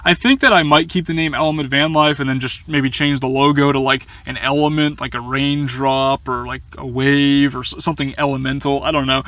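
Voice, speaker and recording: A man's voice: medium pitch, steady tone, fairly quick, hardly any pauses, fairly bright tone, no room sound. A young man chatting casually through a viewer Q&A from inside a small camper van, rambling with lots of fillers and stumbling restarts. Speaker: van-life vlogger answering viewer questions. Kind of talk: casual Q&A chat.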